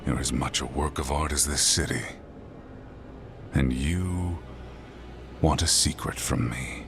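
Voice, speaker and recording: An actor playing a proud, menacing, possessive warlord conqueror in a video game, speaking in a grandiose, theatrical way with a deep gravelly voice. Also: male voice, takes it slowly, exaggerated voice. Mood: contemptuous, intense. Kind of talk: dramatic video game acting. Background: prominent background music.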